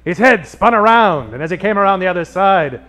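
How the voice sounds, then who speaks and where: A man's voice: high-pitched, expressive, fairly quick, pushed and bright. A man playing the wry, tongue-in-cheek narrator of a comic detective radio play, reading scripted narration. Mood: wry storytelling. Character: wry male narrator of a noir detective spoof.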